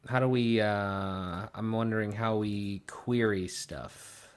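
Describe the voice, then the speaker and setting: A young man from Pittsburgh hosting a casual livestream, thinking aloud and trailing off into low mumbles. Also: fairly even intonation, slow pace, smooth. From Pittsburgh, Pennsylvania. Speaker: livestream host. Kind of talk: casual live co-stream chat. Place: small room at a desk.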